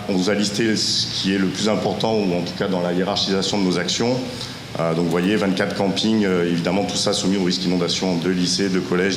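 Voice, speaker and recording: A man giving a semi-improvised technical presentation as a local official, with halting delivery, frequent hesitations and self-corrections. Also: low voice. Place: conference room at a technical seminar. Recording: echoey room, distant ambient noise.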